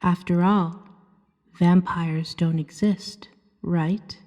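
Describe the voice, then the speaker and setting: A seductive female vampire, played by a woman, speaks in long, washy reverb. Her voice is soft, mellow and breathy, often close to a whisper. She tells her story very slowly, coaxing and hypnotic, in an ASMR roleplay. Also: lowish voice, steady tone. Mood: soothing, hypnotic. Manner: slow, soothing, hypnotic second-person narration.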